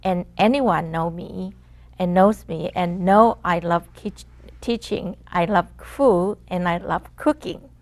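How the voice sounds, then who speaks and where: A woman's voice: fairly low pitch, takes it slowly, a little mellow. A middle-aged woman from Taiwan, a former society president, speaking earnestly as an interview guest, with small grammar slips. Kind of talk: casual interview.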